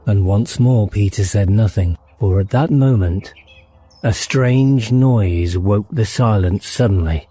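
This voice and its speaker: A man's voice: lowish voice, slow, dark tone, breathy edge. A man reading a children's fantasy audiobook as narrator, in a dramatic storytelling style.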